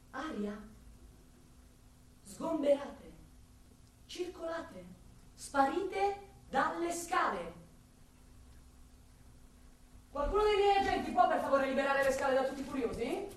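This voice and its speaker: Radio drama casting: actress as weary, caustic, explosive male inspector; high-pitched, theatrical delivery, recorded at a distance from the mic. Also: bright, forceful tone, exaggerated voice. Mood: furious shouting. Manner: biting, sarcastic, volatile, theatrical. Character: exhausted, sarcastic, hot-tempered male police inspector with migraine. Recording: echoey room, distant mic, faint noise.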